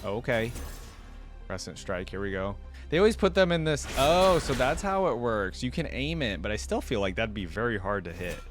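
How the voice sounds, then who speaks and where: A man's voice: medium pitch, very animated, normal speed, faint music behind. A man hosting a reaction video, talking casually and enthusiastically and reading bits of text aloud. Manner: enthusiastic, informal.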